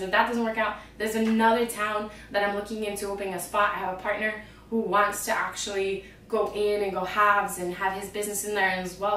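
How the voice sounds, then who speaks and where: A woman, raspy and out of breath, giving an energetic, slangy motivational vlog from home. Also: fairly high pitch. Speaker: vlogger and trapeze instructor. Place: her home. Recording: echoey room, distant mic, faint noise.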